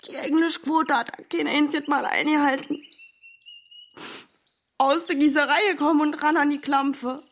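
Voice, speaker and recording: A high-pitched woman in a naturalistic radio play. She chats fondly and coarsely, reminiscing in easy slang. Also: fairly even intonation, unhurried, pauses often, fairly bright tone. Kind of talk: naturalistic radio drama acting.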